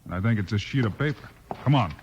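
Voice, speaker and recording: A man's voice: fairly low pitch, animated, fast talker, highs cut off. An actor playing a brave, decisive frontier lawman with a commanding manner in a 1950s radio western. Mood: focused. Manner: firm, commanding. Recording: noisy old 1950s radio recording.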